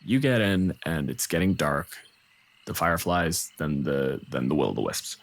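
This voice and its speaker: A man's voice: extremely low pitch, animated, moderate pace, gravelly. A man improvising narration as the game master of a tabletop fantasy game.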